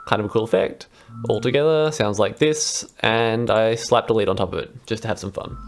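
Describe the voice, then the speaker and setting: A man's voice: fairly low pitch, brisk. A young adult man casually talking through a music patch demonstration in a laid-back, informal way. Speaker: vlog host, electronic musician. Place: small room indoors at a computer. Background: synthesizer music.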